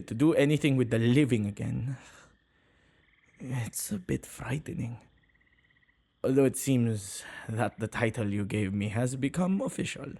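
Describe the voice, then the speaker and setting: A man's voice: medium pitch, creaky, dark tone. A man playing a shy, awkward, kind-hearted witch who tends ghosts, speaking hesitantly in an ASMR roleplay. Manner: hesitant, halting, stammering. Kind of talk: ASMR boyfriend roleplay, acted monologue.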